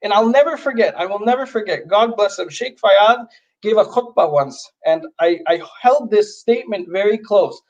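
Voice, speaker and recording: A man's voice: high-pitched, lively intonation, normal speed, a little mellow, muffled recording. A man from Canada, a guest on a livestream, speaking directly and emphatically. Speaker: guest, youth advocate. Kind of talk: livestream conversation.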